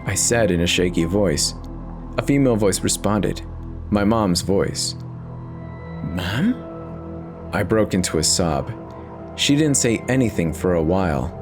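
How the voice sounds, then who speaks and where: Low-voiced man narrating horror over music. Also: lively intonation, moderate pace. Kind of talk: dramatic reading of a first-person horror story.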